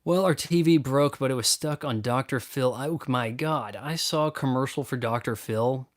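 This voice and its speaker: A man's voice: medium pitch, normal speed. Blunt, rambling man hosting a live Q&A. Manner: blunt, opinionated, rambling with frequent restarts. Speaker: live stream host answering viewer questions. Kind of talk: casual live chat.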